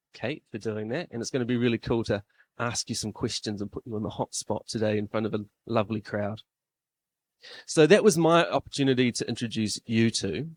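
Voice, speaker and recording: A man in his thirties with a New Zealand accent, emceeing a live event in a classroom-style room, chatty and self-deprecating. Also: medium pitch.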